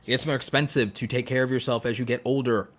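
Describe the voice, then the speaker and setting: A man's voice: medium pitch, steady tone, quick pace, fairly bright tone. A man co-hosting a casual podcast, talking emphatically and with strong opinions, repeating phrases to drive points home. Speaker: podcast co-host.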